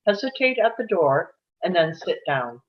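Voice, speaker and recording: A woman's voice: fairly low pitch, big pitch swings, moderate pace, lo-fi sound. A woman reading screenplay stage directions aloud as scripted narration at a table read.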